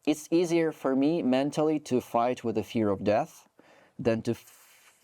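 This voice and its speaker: Ukrainian guy in his 20s, rambly, lots of "like", mellow voice, dry sound. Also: medium pitch, animated, a little slow, no room sound. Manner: rambling, heavy with "like" and restarts. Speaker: soldier guest. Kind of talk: interview conversation.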